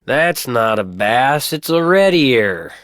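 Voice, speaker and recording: An actor playing a folksy, easygoing small-town printer who loves fishing, chatty in a video game. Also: male voice, medium pitch, sing-song, slow pace, fairly bright tone. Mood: amused, correcting. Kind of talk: video game character acting.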